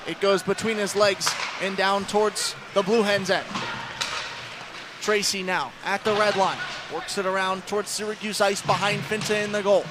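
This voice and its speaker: A man with an American accent delivers live radio play-by-play of a hockey game. His voice sits high for a man. Clear noise from the rink runs behind him. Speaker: play-by-play announcer. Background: ice hockey game noise.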